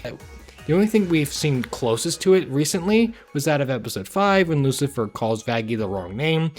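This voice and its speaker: Man, higher-pitched voice, very animated intonation, informal opinionated video essay commentary, music behind. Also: moderate pace. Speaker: YouTube reviewer.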